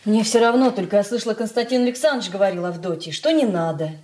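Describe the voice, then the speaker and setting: An actress playing an indifferent, grumbling housemaid in a Soviet radio play, speaking in an offhand way. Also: female voice, mid-range voice, quick pace, dark tone, close to an everyday voice.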